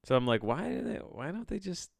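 A man hosting a solo podcast, chatting in a rambling, halting way, often backtracking mid-sentence with a bemused, self-deprecating manner. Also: a little high, sing-song, vocal fry, dry recording, clean studio sound. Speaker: podcast host.

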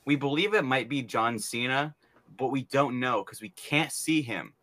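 A man's voice: mid-range voice, lively intonation, moderate pace, bright, forceful tone. A young man co-hosting a podcast with his sister, joking around and giggling often. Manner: giggly, playful. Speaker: podcast co-host. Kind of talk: joking sibling banter.

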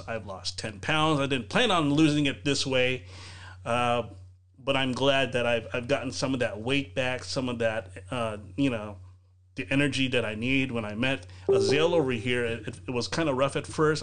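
A man's voice: medium pitch, a bit bright. A man hosting a casual live video podcast from his home over a video call, chatty and rambling with lots of fillers and restarts. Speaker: podcast host. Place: bedroom at home.

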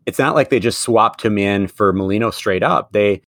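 Chatty man with a low voice. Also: moderate pace, a bit bright, dry recording, studio quality. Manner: chatty, laughs often. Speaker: podcast co-host; middle school teacher. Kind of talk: casual sports podcast chat.

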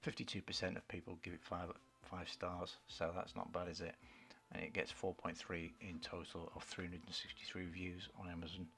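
A man's voice: deep voice, flat delivery, a little fast, hardly any pauses, fairly bright tone. A middle-aged British man co-hosting a casual radio show, rambling and bantering as he chats about what he likes. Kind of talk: casual chat about films and books. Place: home studio in a book-lined study.

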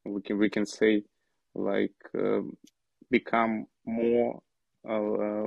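Man, Ukrainian accent, slow halting English, many fillers, dull lo-fi recording. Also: fairly low pitch, vocal fry, dry recording. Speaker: soldier, platoon commander. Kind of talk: interview answers in a second language.

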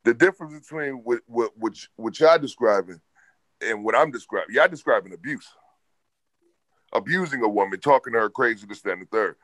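A man with a deep, bass-heavy voice talks loud and boisterous, laying down his view with authority. His voice is mid-pitched for a man and sits close and dry, with no room around it. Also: lively intonation, a little fast, no room sound, poor audio. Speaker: podcast co-host. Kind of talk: casual debate chat.

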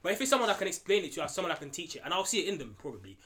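Fast-talking earnest young man. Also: sits high, big pitch swings, a crowd in the background. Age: young adult. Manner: earnest, rambling with repeated words. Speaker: podcast panelist. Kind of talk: casual discussion.

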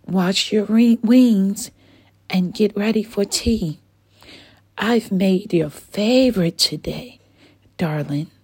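A woman voicing a caring mother dragon in a bedtime story, soft and fussing, sometimes whispering. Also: mid-range voice, slow, husky, no echo. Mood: tender.

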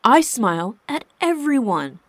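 A woman's voice: fairly low pitch, normal speed, darkish tone, no room sound. A woman voicing a proud, wounded husband and king in a storybook, intense and indignant. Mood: angry, hurt. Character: proud, wounded husband and king, cool but hot-tempered.

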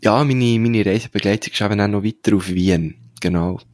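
A man with a Swiss German accent and a fairly low voice, recorded very dry. He chats as a podcast co-host in a colloquial, anecdotal way, dropping in slang and tag questions. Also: brisk, darkish tone, no room sound. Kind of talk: casual chat.

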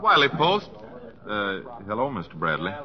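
A man's voice: on the low side, wildly swooping pitch, normal speed. A man acting as a shy, plain-spoken young aviator in an old radio drama. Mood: bashful, friendly. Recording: dull vintage radio recording.

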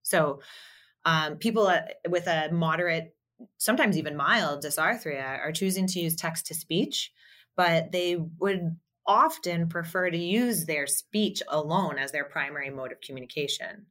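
A woman speech-language pathologist talks a guest through her work in a measured, explanatory way. Her voice sits low but has a bright, forward edge. She pauses on fillers and restarts her sentences now and then. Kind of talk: conversational interview answers.

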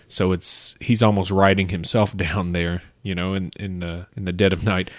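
A man's voice: deep voice, flat delivery, normal speed, dry recording. A man co-hosting a podcast, talking through a classic story in a casual, analytical way, full of fillers and restarts. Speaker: podcast co-host. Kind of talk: casual literary discussion.

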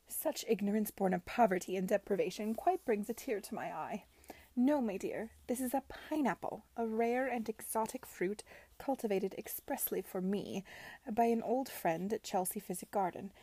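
A condescending, self-satisfied old lady of a period storybook, played by a woman reader. She is pompous and theatrical, patronising whoever she addresses. The voice is husky and mellow in a dry recording. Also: medium pitch, moderate pace, no room sound. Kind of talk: audiobook character dialogue.

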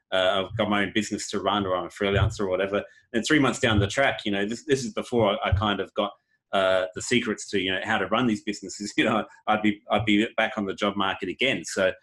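A man hosting a casual interview, talking haltingly with many "um"s, "uh"s and restarts. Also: on the low side, fairly bright tone, rough recording. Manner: hesitant, many fillers and restarts.

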